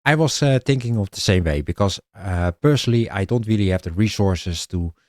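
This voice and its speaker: A man with a Dutch accent pitching his indie game idea in a casual vlog, halting and restarting often and clearing his throat. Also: lively intonation, darkish tone, no echo, clean studio sound. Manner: halting, many restarts and filler sounds.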